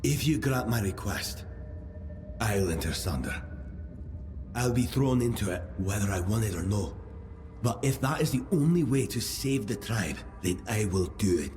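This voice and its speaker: A man's voice: fairly low pitch, lively intonation, moderate pace, darkish tone, lightly acted. A man voicing a solemn, desperate tribesman in a fantasy game, pleading in earnest, formal words. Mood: pleading, resolute. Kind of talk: dramatic fantasy game dialogue. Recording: music behind the voice.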